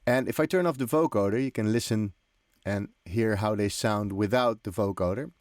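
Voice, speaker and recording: A man's voice: fairly low pitch, normal speed, no echo. Man giving a dry-sounding, informal tutorial. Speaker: music production trainer hosting a tutorial. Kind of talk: informal software tutorial, explaining as he demonstrates. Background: electronic drum and synth loops.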